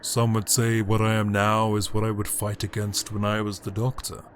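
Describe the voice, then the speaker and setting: A man playing a grandiose, cold tyrant emperor in an audio drama, delivering a pompous, theatrical monologue with deliberate pauses and condescension. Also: fairly low pitch, completely flat delivery, slight background noise, lightly acted. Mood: imperious, self-righteous. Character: grandiose, cold tyrant Emperor, formerly a heroic Time Lord.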